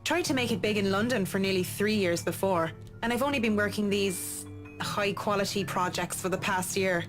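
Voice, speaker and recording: Lady voicing a calm polite designer girl, mid-pitch, steady, light music under. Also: natural voice, poor audio. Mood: earnest. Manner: polite, measured, professional. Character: professional young interior designer, measured and polite. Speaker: interior designer. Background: game music.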